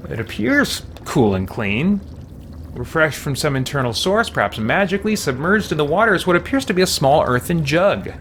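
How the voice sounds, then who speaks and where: A man's voice: mid-range voice, fairly bright tone, a little hiss or hum. A man livestreaming a game in a casual way, musing aloud as he chats and reads game text. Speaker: game streamer.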